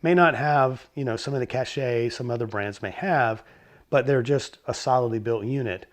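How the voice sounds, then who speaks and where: Hoarse man hosting a gear talk. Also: mid-range voice, normal speed. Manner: relaxed, rambling with fillers and self-corrections. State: hoarse from a cold. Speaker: host of a vintage stereo channel. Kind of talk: casual explainer talk.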